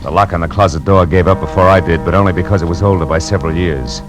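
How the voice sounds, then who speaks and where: A man's voice: sits low, quick pace, lightly acted. An American man playing a tough, wisecracking private detective in an old radio crime drama, speaking in clipped slang. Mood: tense narrating. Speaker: radio drama lead actor and narrator. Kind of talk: old-time radio crime drama, first-person narration. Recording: old radio recording, loud music behind.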